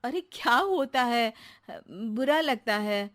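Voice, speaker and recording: Woman as a cartoon housewife, fairly high pitch, slow delivery, teasing, exasperated, scolding. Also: lively intonation, a bit bright. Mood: puzzled, impatient. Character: exasperated but teasing housewife, employer of the maid. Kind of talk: comic animated story dialogue.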